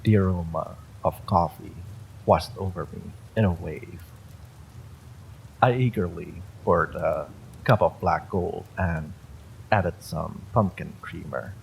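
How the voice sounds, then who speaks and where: Deep, slow, gravelly-voiced man narrating. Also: slight background noise, low-quality recording. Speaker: story reader. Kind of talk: dramatic first-person horror story narration.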